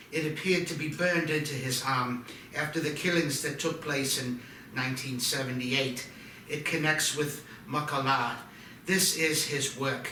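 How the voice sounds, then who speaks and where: Man playing a grave, slow-spoken alarmed doctor. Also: medium pitch, sharp tone, slight background noise. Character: earnest, alarmed male doctor warning of evil. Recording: echoey room, distant mic.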